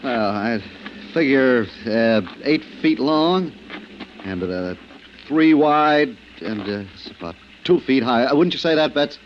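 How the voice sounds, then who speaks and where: An actor playing a nervous, secretive, stubborn family man in an old radio drama, halting and stammering but insistent. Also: mid-range voice, expressive. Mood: hesitant, uneasy. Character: jittery, secretive, stubbornly possessive suburban husband and father. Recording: muffled, hissy vintage radio recording.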